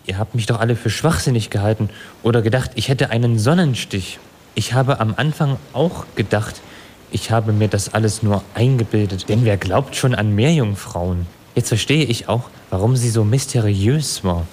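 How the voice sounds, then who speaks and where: A bewildered young sailor in love, acted by a man for an audio story. His voice is fairly low, earnest and defensive. A little noise sits behind the recording. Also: normal speed, a little mellow, natural voice.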